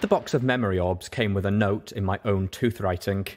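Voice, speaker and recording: A man narrating a story in an expressive audiobook style. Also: lowish voice, fairly quick.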